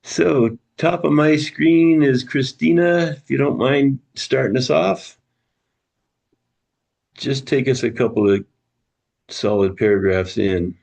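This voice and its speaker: A man's voice: mid-range voice, slow, vocal fry, long pauses, a little mellow. A man leading a book study group on a video call, casual and friendly, thinking aloud with restarts and chuckles.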